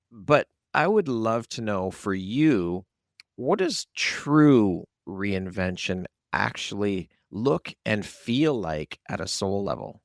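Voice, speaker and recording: A man's voice: fairly low pitch, no echo, clean studio sound. A man speaking as an upbeat, encouraging podcast host. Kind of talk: upbeat scripted intros and interview talk.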